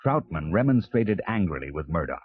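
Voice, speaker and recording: A man's voice: sits low, big pitch swings, brisk. A man reading scripted narration for a children's read-along adventure story. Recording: dull 1980s cassette tape.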